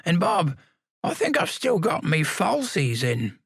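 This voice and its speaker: A man voicing a stubborn, toothless old man in his nineties with a shrill, piping voice. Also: wildly swooping pitch, unhurried, gravelly, a bit bright, slightly rough, no room sound, clean studio sound, heavily acted. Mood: cantankerous. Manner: stubborn, cantankerous.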